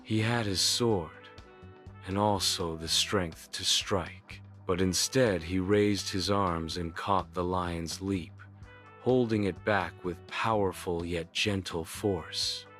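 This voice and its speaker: A man's voice: low voice, steady tone, takes it slowly, a bit bright, soft background music. A man narrating a scripted children's bedtime story in a solemn, formal storytelling manner.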